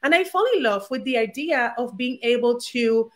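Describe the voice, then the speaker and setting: Guest: Puerto Rican woman, 40s, higher voice, energetic and candid, talking from home. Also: normal speed. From Puerto Rico. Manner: energetic, candid, frequent fillers and restarts. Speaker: guest, former attorney. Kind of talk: casual interview from home. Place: her home.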